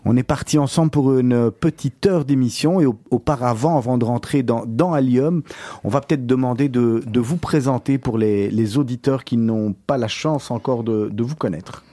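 A man's voice: mid-range voice, mellow, dry recording. A man hosting a radio interview. He asks long questions, restarting and repeating words as he goes. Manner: halting, repeats words while forming questions. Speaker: radio host.

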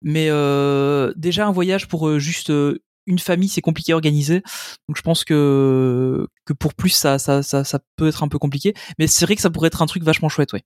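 A man with a Belgian French accent hosts a casual podcast and reads out listener questions. He talks in a friendly, searching way and pauses on fillers. His voice is a bit higher than most men's, recorded close and dry. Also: steady tone, no room sound. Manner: friendly, hesitant, many fillers.

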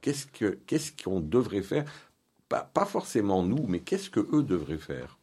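A man with a low-pitched voice hosts an interview. He talks informally and haltingly, reworking his phrasing as he goes. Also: very animated, warm tone, slightly rough. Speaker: interview host.

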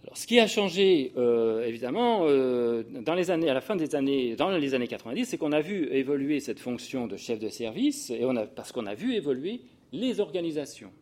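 Man, 60s, from the Paris region. Informal lecturer, mid-pitched voice, hesitant and digressive, often restarting his sentences. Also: sing-song, brisk, few pauses, darkish tone. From Paris region, France. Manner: hesitant, digressive delivery with self-interruptions and repeated words. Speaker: sociologist giving a talk to social work professionals. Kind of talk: informal lecture with personal anecdotes.

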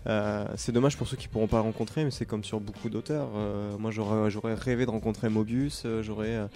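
A man's voice: on the low side, steady tone, a little fast, warm tone, faint music behind. A man chatting casually on a podcast, talking with many fillers and restarts. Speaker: podcast co-host, comics shop worker. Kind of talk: casual chat.

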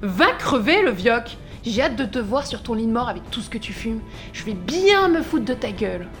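A woman's voice: fairly high pitch, big pitch swings, normal speed, faint music behind. A woman playing a hot-tempered gangster in an audio drama, blunt, cutting and scornful. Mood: scornful, hostile. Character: abrasive, hot-tempered female gangster, harsh and mocking.

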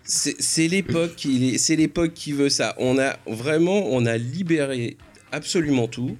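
A man's voice: on the high side, a little slow, a little mellow. A man in a casual panel discussion, giving opinions in a hesitant way and circling back on his phrases. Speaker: podcast panellist. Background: faint music.

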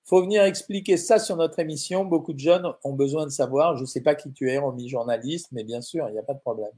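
Brief: male nutritionist, middle-aged, fairly high-pitched, informal and blunt, live Q&A host. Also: a little fast, dark tone, breathy edge. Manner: informal, blunt, uses tu with viewers, frequent euh. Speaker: nutritionist answering viewer questions. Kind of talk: casual live Q&A, reading out comments.

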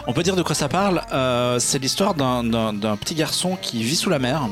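A man's voice: mid-range voice, animated, moderate pace, fairly bright tone. A man with a mid-range voice talks casually as a podcast co-host. His delivery is slangy and informal, often groping for the right word, with faint music in the background. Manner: informal, slangy, hesitant searching for words. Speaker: podcast co-host reviewing films. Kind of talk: casual chat, film review.

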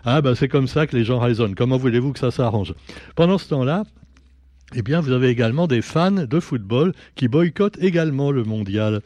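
Sarcastic radio press reviewer, dry sound. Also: male voice, medium pitch, lively intonation, fairly quick, a little mellow, no echo. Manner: sarcastic, ironic, with rambling asides. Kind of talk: joking press review commentary.

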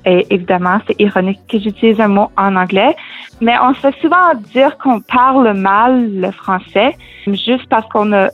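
A woman's voice: mid-range voice, normal speed. Young Fransaskois woman on a tinny line. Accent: Fransaskois French. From Saskatchewan, Canada. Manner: earnest, thoughtful, slips in English words. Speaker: singer-songwriter guest. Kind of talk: casual interview answers. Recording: tinny phone-like line, faint music.

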